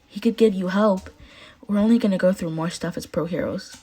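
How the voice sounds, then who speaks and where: Casting: kind teen boy hero student, read by a female narrator, soft-spoken, reassuring, understated story delivery. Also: mid-range voice, brisk, slightly rough, natural voice. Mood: gentle, concerned. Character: gentle, supportive teenage boy hero student.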